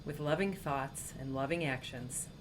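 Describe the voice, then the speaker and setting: A woman speaking slow, soft affirmations in a guided meditation. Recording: faint background rumble.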